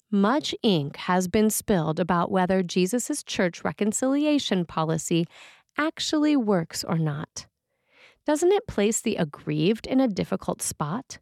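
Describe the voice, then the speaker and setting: A woman's voice: medium pitch, a little mellow, no room sound, studio quality. A woman priest reading a scripted sermon for a podcast.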